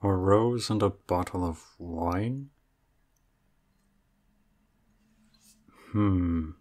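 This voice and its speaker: A man playing an aloof, regal dragon in human form for an ASMR roleplay, speaking in formal, measured, deadpan phrases. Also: low-pitched. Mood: reserved, mildly puzzled. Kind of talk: ASMR roleplay audio drama.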